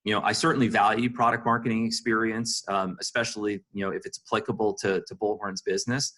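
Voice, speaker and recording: Guy being interviewed, kinda low crisp bright voice, exec type, says you know constantly. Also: level tone, fast. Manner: peppers sentences with "you know". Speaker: senior marketing executive being interviewed. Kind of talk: conversational interview answers.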